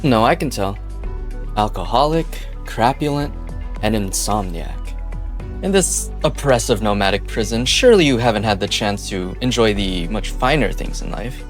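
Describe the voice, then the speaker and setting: A man playing a smooth-talking, scheming corporate businessman with a theatrical, coaxing manner in an amateur game dub. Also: lowish voice, expressive, normal speed, lightly acted. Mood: slyly diagnosing. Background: background music.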